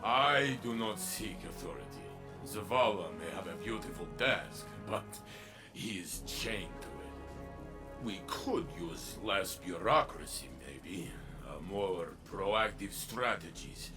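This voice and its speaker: Deep, slow earnest robot warrior. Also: male voice, expressive, over music. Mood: troubled earnest. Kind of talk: video game character dialogue.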